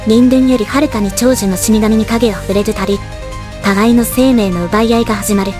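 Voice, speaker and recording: A woman voicing a stoic, battle-hardened female death god in an anime drama, with measured, composed dramatic delivery. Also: fairly high pitch, steady tone, over music, natural voice. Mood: solemn. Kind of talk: anime voice drama, scripted narration and dialogue.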